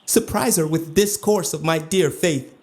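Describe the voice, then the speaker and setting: An actor playing a lovesick, grandiose duke in a classical audio drama, with languid declamatory verse. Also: male voice, a little high, measured pace, a little mellow, close to an everyday voice. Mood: eager, impassioned. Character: lovesick, grandiose, self-indulgent male duke.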